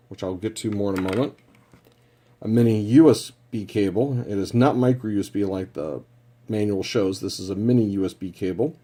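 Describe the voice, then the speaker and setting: Mellow, low-voiced man reviewing products. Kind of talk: casual product review narration.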